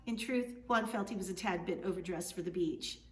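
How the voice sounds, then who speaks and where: A woman's voice: lowish voice, fairly quick. Woman narrating a story in an echoey room. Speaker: author reading their book. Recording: roomy, distant mic, faint noise.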